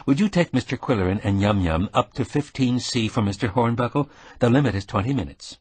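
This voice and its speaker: A man voicing a brisk, businesslike woman who organizes volunteers. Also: mid-range voice, animated, darkish tone, husky, no room sound.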